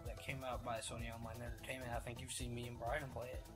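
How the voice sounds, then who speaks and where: A young man rambles loosely through a chat with a friend, laughing and cursing as he goes. His voice sits at an ordinary middle pitch. Music plays clearly behind him. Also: flat delivery, quick pace. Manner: rambling, laughs and swears freely. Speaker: podcast host. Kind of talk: casual chat.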